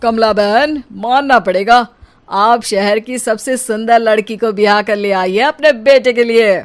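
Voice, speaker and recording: A smug middle-aged neighbour man from a cartoon, put on by a woman. He is nosy and emphatic, speaking up loudly and without hurry. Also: fairly high pitch, a little slow, lightly acted. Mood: flattering, prying.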